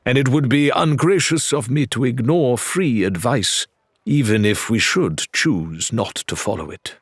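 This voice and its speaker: A man voicing a suave, courteous, teasing male pirate with unhurried, theatrical phrasing in an audiobook. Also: medium pitch, animated, breathy edge, no room sound, clean studio sound. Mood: mildly courteous. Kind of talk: audiobook character acting.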